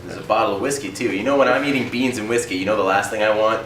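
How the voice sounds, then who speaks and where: Young man, low pitch, ironic deadpan comedy co-host, casual chat, echoey room, distant mic. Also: rapid-fire. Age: young adult. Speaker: co-host of a campus humour paper's show. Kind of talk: casual satirical banter. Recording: roomy, distant mic, faint noise.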